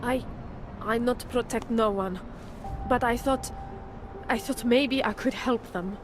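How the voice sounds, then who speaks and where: An actress playing a nervous, guilt-ridden surveillance worker in a video game, with a Czech accent and halting broken English. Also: female voice, fairly high pitch. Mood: guilty, hesitant. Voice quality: broken English with dropped articles. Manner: halting, jumpy, repeating herself. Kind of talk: video game cutscene acting. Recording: music playing behind the voice.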